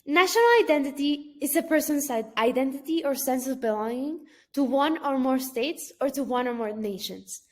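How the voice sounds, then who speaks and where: A woman's voice: sits high, normal speed, few pauses. A young woman from Mexico, a Spanish speaker with a Mexican accent, reading a class presentation in careful, list-like phrases. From Mexico (Guadalajara). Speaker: student.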